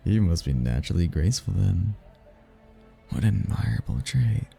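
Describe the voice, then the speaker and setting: Man acting a charming demon, very dark mellow tone, husky, courtly, flirtatious, ASMR romance roleplay, music behind. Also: low voice, expressive, a little slow, full character voice. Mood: charmed, curious. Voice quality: husky, gravelly. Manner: smooth-talking, courtly, flirtatious. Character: suave, devilishly charming male demon, flirtatious and prideful. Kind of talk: ASMR roleplay, one-sided romantic conversation.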